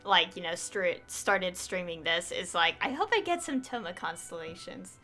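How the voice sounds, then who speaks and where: A woman chatting casually on a live game stream, excitable and rambling to her viewers. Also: fairly low pitch, normal speed, soft background music.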